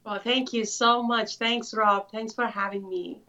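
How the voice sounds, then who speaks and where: A woman from Iran, an author telling her life story in an interview, thoughtful with frequent pauses. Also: a little high, steady tone, pushed and bright. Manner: thoughtful, many filler pauses. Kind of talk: conversational storytelling. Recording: muffled lo-fi audio.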